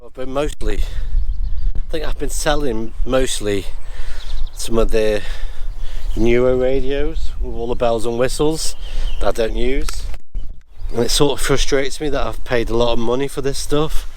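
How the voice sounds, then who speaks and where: A man's voice: medium pitch, a little slow, slight background noise. A British man chatting casually and hesitantly as he records a rambling vlog about his hobby outdoors. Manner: hesitant, musing, unscripted. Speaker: amateur radio hobbyist and video host. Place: outdoors on a rural walk.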